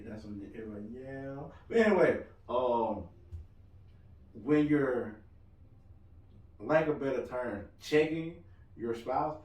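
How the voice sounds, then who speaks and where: A loud-voiced man chatting on a podcast, rambling and cracking jokes in an easy, mid-pitched voice. He sits well back from the mic, and the room adds a roomy echo around him. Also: recorded from a distance. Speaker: podcast co-host. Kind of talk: casual podcast chat.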